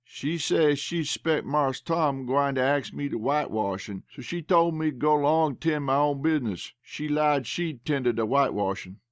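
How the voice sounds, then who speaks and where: A man reading a storybook who voices a nervous young boy speaking slowly in a drawling dialect. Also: on the high side, smooth, dry recording, clean studio sound, close to an everyday voice. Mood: fearful. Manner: slow, hesitant, drawling dialect.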